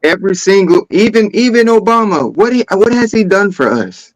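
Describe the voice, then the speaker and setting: High-pitched man in casual political chat. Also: expressive, normal speed, no room sound, poor audio. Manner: agreeing interjections, repeats himself.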